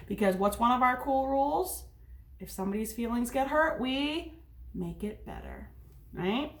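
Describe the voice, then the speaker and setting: A woman's voice: a little high, big pitch swings, moderate pace, a little hiss or hum. A woman teacher reading a story aloud to young children, with simple, instructive asides.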